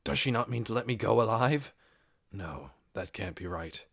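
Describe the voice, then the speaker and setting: A man reading a fantasy audiobook as narrator, in a measured and expressive dramatic storytelling style. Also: fairly low pitch, gravelly, husky, no room sound, clean studio sound.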